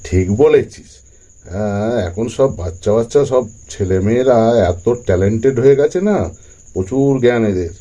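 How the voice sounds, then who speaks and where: A man's voice: medium pitch, animated, normal speed, mellow, close to an everyday voice. A man voicing an agreeable adult onlooker doll in a puppet story, chatty and approving. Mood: admiring.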